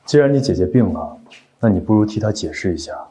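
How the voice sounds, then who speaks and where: An actor playing a cool, domineering young company boss with a deadpan, sarcastic and controlled manner in an animated drama. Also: male voice, fairly low pitch, quick pace, slightly rough. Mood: cool, pressing. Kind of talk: animated short drama dialogue.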